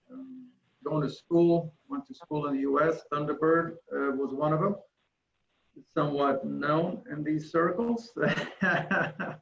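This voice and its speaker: A man from Sweden casually introducing himself on a group video call, with a halting delivery, in an echoey room. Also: on the high side, creaky, dull audio, a little hiss or hum. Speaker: executive search consultant, meeting participant.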